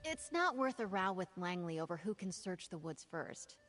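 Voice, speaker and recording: A woman's voice: lowish voice, very animated, normal speed, fairly bright tone. A woman playing an eager, good-humored young knight aspirant in a video game. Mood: earnest, confident. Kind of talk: video game character dialogue. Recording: background music.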